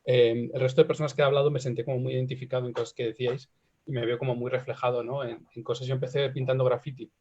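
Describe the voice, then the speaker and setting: Fast-talking man from Spain, mellow voice. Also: medium pitch, level tone. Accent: Spanish (Spain). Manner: fast, earnest, tag questions. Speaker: panelist. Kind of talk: casual panel talk. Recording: dull lo-fi audio.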